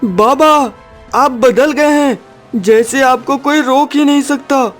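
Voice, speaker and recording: A man's voice: very high-pitched, slow, soft background music, voice pushed up. A man voicing a frightened young boy in a cartoon story, anxious and pleading with devotion. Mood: amazed. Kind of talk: dramatic cartoon story acting.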